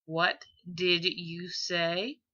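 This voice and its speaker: Woman playing young father, exasperated, protective, hesitant, slow delivery, bright tone, audiobook character. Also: fairly low pitch, fairly even intonation, highs cut off, heavily acted. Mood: tense, awkward. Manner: hesitant, earnest.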